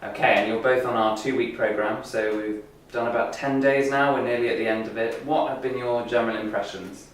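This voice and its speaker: A man's voice: medium pitch, fairly even intonation, a little fast, hardly any pauses. A man from the programme staff interviewing students, prompting them with friendly questions. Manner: friendly, prompting, brief acknowledgements. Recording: echoey room, distant mic, faint noise.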